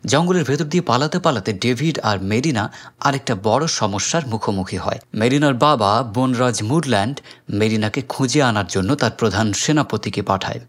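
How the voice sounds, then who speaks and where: A man's voice: mid-range voice, expressive, normal speed. A man with a Bangladeshi accent narrates a film recap as scripted storytelling.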